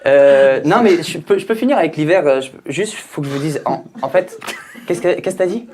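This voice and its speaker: A man's voice: a little high, lively intonation, a little fast, darkish tone, a little hiss or hum. A man from France doing a stand-up routine on a TV show stage, chatty and teasing the audience. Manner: jokey, conversational, teasing the audience. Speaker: stand-up comedian. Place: TV studio stage with live audience. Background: audience laughter.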